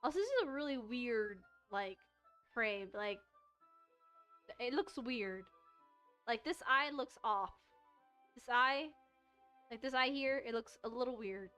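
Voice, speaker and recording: A woman's voice: on the high side, lively intonation, slow pace, pauses often, bright, forceful tone, clean tone. A woman streaming a visual novel, mixing casual, rambling, playful chat with reading aloud. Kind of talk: casual let's-play chat and read-aloud. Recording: music behind the voice. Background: music.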